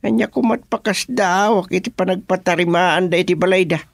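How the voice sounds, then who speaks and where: A man playing an excitable, comic village chairman in a radio drama, exclaiming with drawn-out interjections. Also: high voice, gravelly, dry recording. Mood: puzzled, surprised. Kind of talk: comedic radio drama acting.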